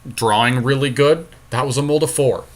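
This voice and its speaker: A man's voice: medium pitch, moderate pace. A man co-hosting a gaming podcast, thinking aloud analytically as he casually talks through plays in an online card game. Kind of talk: casual play-by-play strategy talk.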